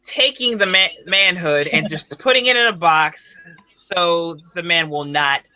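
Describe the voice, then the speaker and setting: A man co-hosting a casual online panel, excitable and quick to exclaim. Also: a little high, dry recording, lo-fi sound. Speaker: panel co-host.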